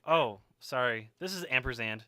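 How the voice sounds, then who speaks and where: A man's voice: mid-range voice, lively intonation, normal speed, pushed and bright. A man acting in an audio drama as a wisecracking, stubborn young survivor who talks casually and sarcastically. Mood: earnest.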